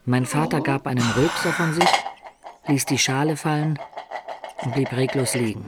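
An actor playing a middle-aged adventurer who recounts his life in measured, literary radio drama narration. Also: mid-range voice, loud music behind the voice, close to an everyday voice. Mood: reflective, solemn.

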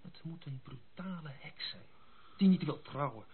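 An actor playing a wry, cautioning gentleman friend in a Dutch radio play, speaking in measured, formal, old-fashioned phrases. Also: on the high side, animated, natural voice. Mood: reluctant, cautioning. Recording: muffled, phone-like old radio recording.

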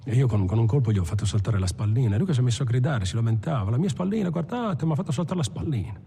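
A man's voice: mid-range voice, expressive, racing, creaky, warm tone, slightly rough, exaggerated voice. An actor playing a cold, scornful bank robber who matter-of-factly recalls his crimes in a film monologue. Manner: contemptuous, matter-of-fact. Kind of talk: dramatic first-person monologue in a film. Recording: music behind the voice. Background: music.

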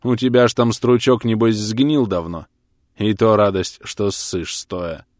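A man voicing a grumpy, sarcastic retired engineer in an audiobook, with gruff, crude, grumbling speech. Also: low voice, brisk, no room sound, studio quality, close to an everyday voice. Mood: snide.

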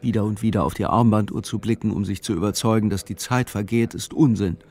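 A man narrating a literary audio drama in measured, clipped, matter-of-fact phrasing. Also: lowish voice, fairly even intonation, darkish tone. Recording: music behind the voice. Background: music.

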